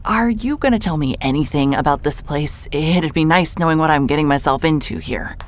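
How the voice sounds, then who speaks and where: A woman's voice: low-pitched, fairly quick, slight background noise, natural voice. A woman playing a wary, wry private investigator from the city, in audio drama dialogue and first-person narration. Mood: wary, probing.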